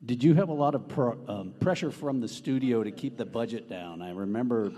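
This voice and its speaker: Mellow-voiced man in an echoing hall. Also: mid-range voice, fairly quick. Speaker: audience member asking a question. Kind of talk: halting, unscripted question. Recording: echoey hall, long reverb.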